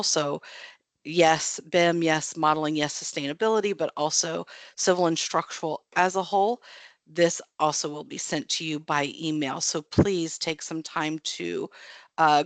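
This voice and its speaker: A woman's voice: low voice, dry recording. American woman moderating a webinar, dry close sound. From United States. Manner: friendly, chatty, with frequent ums and restarts. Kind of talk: casual announcements to an online audience.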